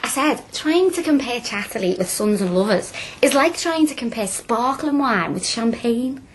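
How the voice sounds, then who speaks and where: An actress playing a cheeky, eager young working-class student with a Liverpool accent, chatty and blunt, in a radio drama. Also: female voice, fairly high pitch, animated, moderate pace, slight background noise, lightly acted, low-quality recording. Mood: animated, chatty.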